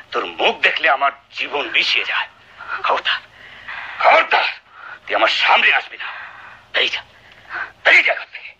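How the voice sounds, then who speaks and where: An actor playing a cruel, hot-tempered husband in a radio drama, shouting menacingly and barking repeated short commands. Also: male voice, mid-range voice, breathy edge, exaggerated voice. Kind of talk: Bengali radio drama acting. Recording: dull lo-fi old radio drama recording.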